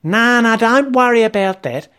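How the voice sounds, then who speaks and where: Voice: mid-range voice, animated, moderate pace, fairly bright tone, smooth, pitched above the performer's own voice, natural voice. A narrator voicing a brash, bossy Australian bloke. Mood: blunt, matey. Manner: blunt, matey, hectoring, slangy. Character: brash, bossy, sweary Aussie mate, an adult man.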